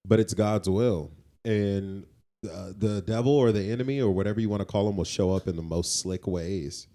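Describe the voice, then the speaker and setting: Emphatic man with a mellow, lowish voice. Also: moderate pace. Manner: emphatic, moralizing, repeats points for weight. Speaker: podcast co-host. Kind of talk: conversational opinion on faith.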